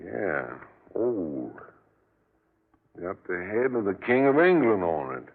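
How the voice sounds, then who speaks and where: Through a thin vintage radio sound comes a folksy roadside coffee seller with a rural American accent. His low voice swings up and down in lively, talkative, good-natured drawls, in old radio play style. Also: takes it slowly, gravelly, narrow, tinny audio, heavily acted. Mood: puzzled, insistent. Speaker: radio drama actor. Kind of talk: old-time American radio drama acting. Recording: thin band-limited vintage radio recording.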